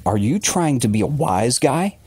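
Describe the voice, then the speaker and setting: A man's voice: medium pitch, sing-song, normal speed, a little mellow, slightly rough, no echo, pitched above the performer's own voice. A man playing an agitated, defensive working-class father confessing a horror in blunt, colloquial words, as in an audiobook. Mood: irritated, defensive.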